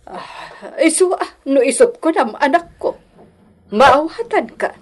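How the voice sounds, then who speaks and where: A woman's voice: sits high, lively intonation, measured pace. A woman acting an older, meddling mother-in-law who scolds and complains in a radio drama. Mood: wounded, plaintive. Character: meddling elderly mother-in-law, reproachful and self-righteous.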